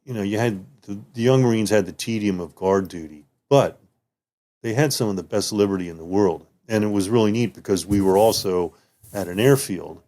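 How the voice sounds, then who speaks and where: Rambling low-voiced retired officer, a man. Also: brisk, mellow. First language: German. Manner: rambling, many restarts and "you know"s. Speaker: retired military officer guest. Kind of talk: casual interview reminiscing.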